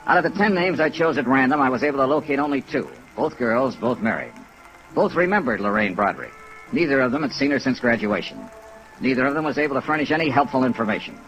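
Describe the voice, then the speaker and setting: An actor playing a dry, persistent insurance investigator and narrator in 1950s radio style, wry, clipped and matter-of-fact. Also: male voice, medium pitch, big pitch swings, quick pace, a bit bright, soft background music. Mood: matter-of-fact narrating. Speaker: lead character and narrator. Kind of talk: 1950s radio detective acting. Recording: noisy vintage radio recording.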